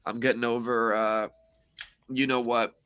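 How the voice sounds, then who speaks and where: Man co-hosting a livestream, hoarse voice, bright and forceful, rambling, upbeat and jokey. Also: medium pitch, little pitch movement, measured pace. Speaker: co-host. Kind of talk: casual livestream chat.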